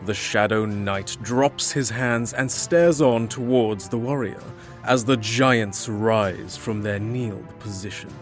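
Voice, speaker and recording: A man's voice: on the low side, slow pace, faint music behind. A man giving dramatic, scripted fantasy narration as the storyteller.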